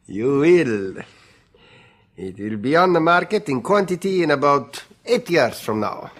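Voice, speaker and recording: An actor playing a shady, self-assured dealer, slyly polite and amused in a classic radio drama. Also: male voice, fairly high pitch, big pitch swings, takes it slowly, long pauses, fairly bright tone, exaggerated voice. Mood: polite, knowing. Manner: smooth, bargaining, slyly polite.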